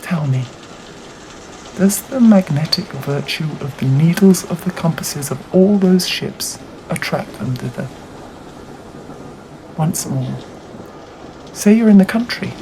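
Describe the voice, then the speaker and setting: A narrator reading a classic novel aloud in a measured, deliberate way with dramatic phrasing. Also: medium pitch, expressive, warm tone, rough recording. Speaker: audiobook reader. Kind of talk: scripted literary narration. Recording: audible background noise.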